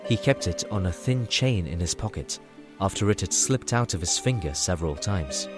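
Lowish-voiced male fantasy story narrator. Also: animated, moderate pace, music in the background.

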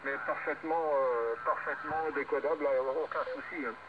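A man's voice: fairly high pitch, brisk. Hesitant man over a noisy radio link. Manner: rambling, repetitive, hesitant. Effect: radio transmission. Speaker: amateur radio operator. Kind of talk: casual radio contact chat. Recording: distant muffled radio speaker, very noisy. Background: loud radio and outdoor noise.

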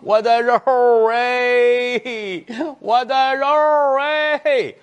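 A man voicing an elderly mother who pleads in court, crying out her lament in a drawn-out sing-song. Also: high voice, animated, a bit bright, voice pushed up. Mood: wailing. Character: wailing grief-stricken old mother pleading in court.

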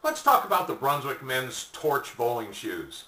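An older man giving a folksy, conversational sales pitch to camera as a product presenter, seated in a small room. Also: huge pitch swings, a little slow, sharp tone. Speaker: product presenter for an online shop. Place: small indoor room at home or office, seated. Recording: echoey room, distant mic.

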